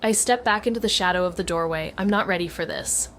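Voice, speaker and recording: A woman's voice: fairly low pitch, fast talker, a bit bright, a little hiss or hum, natural voice. An actress playing a thoughtful, haunted young woman, narrating a noir audio drama in the first person in a hesitant, introspective way. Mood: tense, focused. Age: young adult. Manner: hesitant, introspective, self-interrupting. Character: Mags, thoughtful haunted young woman, former teenage detective.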